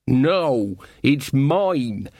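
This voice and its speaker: A woman's voice: extremely low pitch, very animated, very slow, mellow, no room sound, clean studio sound, exaggerated voice. A man voicing an indignant, stubborn older female cook in a storybook reading.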